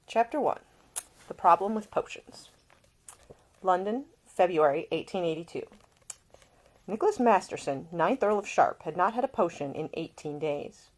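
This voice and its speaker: Lady author doing a home readaloud, low voice, informal storyteller vibe. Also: expressive, normal speed, long pauses. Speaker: author reading own book. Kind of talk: informal introduction, then expressive audiobook narration.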